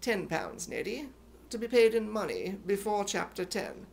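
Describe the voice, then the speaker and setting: A man voicing a suave, sly gentleman blackmailer with a mock-courteous manner and a put-on British accent, in radio comedy style. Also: high-pitched, huge pitch swings, moderate pace, gravelly, full character voice. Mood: sly, menacing. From United States. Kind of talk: 1950s radio comedy acting.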